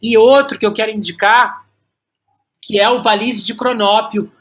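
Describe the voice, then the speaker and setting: High-voiced Brazilian man, halting and conversational. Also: steady tone, a bit bright. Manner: halting, repeats words as he thinks. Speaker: podcast guest, playwright and workshop teacher. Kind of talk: casual conversational interview.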